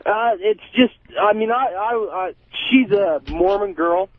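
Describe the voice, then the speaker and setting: Young american guy calling in, tinny phone line, highish voice, rambles and swears a ton, bit defensive. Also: unhurried, no echo. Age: 20s. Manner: rambling, heavily profane, defensive. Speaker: caller. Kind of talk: casual call-in chat. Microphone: telephone.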